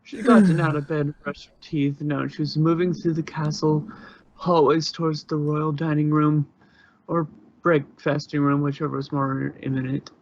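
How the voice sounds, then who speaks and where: A man narrates a fanfiction story in an informal reading style. His voice sits on the high side for a man. The recording is slightly dull and lo-fi. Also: steady tone, moderate pace, slight background noise.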